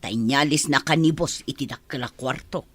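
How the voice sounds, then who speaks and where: Lady doing a gossipy grumbly maid, low raspy voice that jumps around, radio drama. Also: normal speed, no echo. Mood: teasing, grumbling. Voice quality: husky. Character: gossipy, grumbling older housemaid. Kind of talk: Ilocano radio comedy drama acting.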